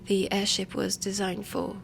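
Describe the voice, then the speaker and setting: A woman playing a refined, ambitious heiress inventor in a steampunk audio roleplay, speaking softly and deliberately with a French accent, often close to a whisper. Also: medium pitch, monotone, gravelly. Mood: pleasant, musing. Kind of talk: soft intimate audio roleplay. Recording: music under the voice. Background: background music.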